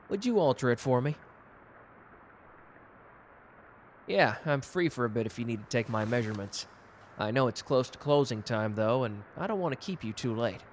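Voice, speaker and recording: Man playing a young male love interest, medium pitch, casual, intimate, teasing, bashful, romantic audio roleplay. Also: lively intonation, normal speed, slight background noise, natural voice. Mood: relaxed, polite.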